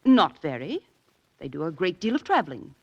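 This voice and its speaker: An actress playing a prim, proper, middle-aged school headmistress in a 1940s radio drama, formal and hesitant. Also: female voice, fairly low pitch, big pitch swings, moderate pace, dry recording. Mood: guarded, proper. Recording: dull vintage radio recording.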